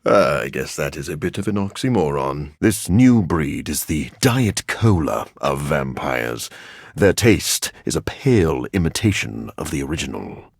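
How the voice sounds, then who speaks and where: An actor playing a brooding, erudite vampire scientist in a video game, raspy and gravelly, with formal, precise, deadpan phrasing and a dry sardonic streak. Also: male voice, extremely low pitch, a little slow, dry recording, exaggerated voice. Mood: wry, sardonic. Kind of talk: video game character voice lines.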